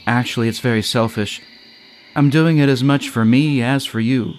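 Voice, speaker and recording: A man's voice: medium pitch, moderate pace, lightly acted. A man voicing a regretful woman in her fifties who longs to be a mother, in an audio story. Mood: regretful, earnest. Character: fifty-something woman, regretful, sad, wanting to mother.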